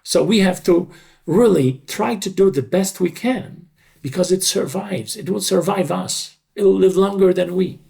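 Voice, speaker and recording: A man's voice: high voice, measured pace, darkish tone. An older man with a tired, untrained voice, explaining his craft emphatically in an interview and repeating words for stress. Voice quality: creaky, untrained, slightly tired voice. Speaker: dubbing director.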